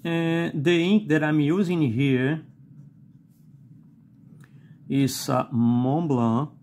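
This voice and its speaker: A middle-aged man with a Brazilian Portuguese accent, not a native English speaker, reviewing a fountain pen at a desk, slow and halting with many "uh"s and a questioning "okay?". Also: on the high side, fairly even intonation, a little mellow. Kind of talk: unscripted product review while writing. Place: indoors at a desk.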